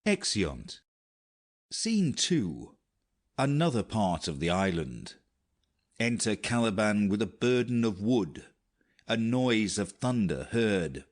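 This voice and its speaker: A man's voice: lowish voice, sing-song, slow pace. A man narrating scripted stage directions for a dramatic audiobook.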